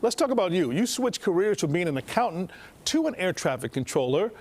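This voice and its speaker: A man's voice: high-pitched, sing-song, fairly quick, darkish tone. An American man working as a news anchor, reading and interviewing in broadcast style.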